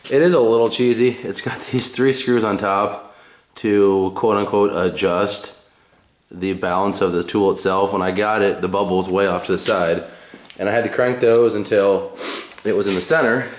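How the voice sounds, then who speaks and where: A man's voice: lowish voice, steady tone, normal speed. A man casually showing how to use a tool in his home garage, talking in a laid-back, rambling way while filming on a handheld camera. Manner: laid-back, rambling, with fillers and self-corrections. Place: home garage with concrete floor. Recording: echoey garage, faint background noise.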